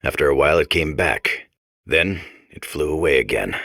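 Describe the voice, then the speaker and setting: An actor playing a dull, world-weary hard-boiled detective robot, narrating in clipped deadpan noir parody with a gravelly voice. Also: male voice, very deep voice, normal speed, no room sound, clean studio sound, full character voice.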